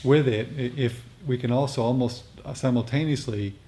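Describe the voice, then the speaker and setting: A man leading a meditation group, speaking off the cuff in a reflective, halting way with repeated phrases. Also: medium pitch, warm tone. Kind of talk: unscripted reflective talk on meditation practice. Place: meditation group meeting room. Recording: noisy live room recording.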